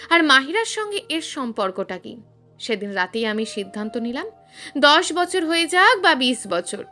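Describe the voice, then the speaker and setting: A woman's voice: sits high, bright, forceful tone. A woman reading a scripted, eerie family story in a dramatic, suspenseful way. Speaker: story narrator.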